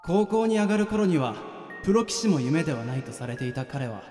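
A man's voice: a little high, sing-song, brisk, dark tone. A man playing a weary, bewildered ordinary guy in a radio drama, speaking casually and narrating his own ghostly encounter. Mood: reflective narrating. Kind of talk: radio drama dialogue and first-person narration. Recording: echoey reverberant sound, music underneath.

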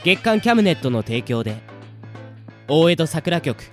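A man's voice: on the high side, sing-song, normal speed, a bit bright, faint music behind. A man reading scripted sponsor credits as a radio announcer.

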